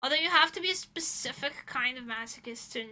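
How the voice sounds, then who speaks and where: High, very bright woman streamer. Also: brisk. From Europe. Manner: animated, dramatic exaggeration, swearing. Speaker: livestreamer, VTuber. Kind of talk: casual livestream chat.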